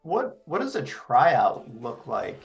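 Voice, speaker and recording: A man's voice: on the high side, huge pitch swings, slow pace, vocal fry, muffled recording, faint music behind. A man hosting an interview, asking questions in a friendly, hesitant way with lots of fillers. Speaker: interviewer, channel host.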